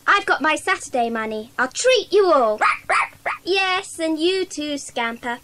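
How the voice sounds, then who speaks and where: A woman's voice: high-pitched, animated, unhurried. A woman playing a kindly, generous child club member in a dramatised children's audiobook, earnest and coaxing. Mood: cheerful, generous. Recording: faint background noise.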